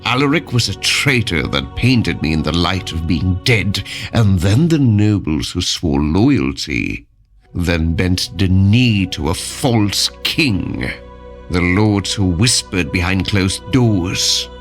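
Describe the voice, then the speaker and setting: A slow, deep undead tyrant king. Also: animated, full character voice. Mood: brooding menace. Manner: slow, brooding, grandiose pronouncements. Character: vengeful tyrant king returned from death, cold and menacing. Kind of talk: dark fantasy audio drama acting. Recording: music under the voice. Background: dramatic music.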